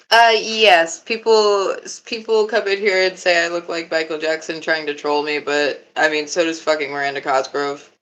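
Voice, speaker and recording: A young woman with a lowish, deeper voice chats casually on her live stream. She is laid-back and giggles often, swearing freely as she answers her chat. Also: steady tone, normal speed, a bit bright, rough recording. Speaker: livestreamer.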